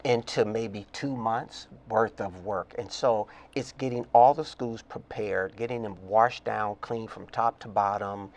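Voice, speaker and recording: A man's voice: fairly low pitch, a little mellow. An older man from Oakland with an American accent, answering as a guest in a conversational interview. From Oakland, California, United States. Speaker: interview guest, custodial and grounds director.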